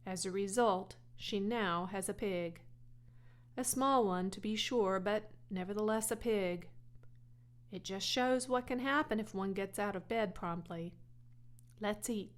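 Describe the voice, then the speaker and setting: A woman voicing a patient farmer father in a storybook, gently firm and measured, with dry formality. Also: medium pitch, lightly acted. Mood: wry.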